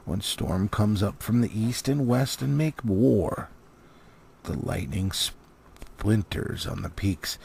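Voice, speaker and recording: A man reading a fantasy storybook aloud as an audiobook, in a dramatic and deliberate manner with swooping emphasis. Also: lowish voice, vocal fry, a little mellow, slight background noise. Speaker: audiobook reader. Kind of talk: expressive storybook narration, cold read.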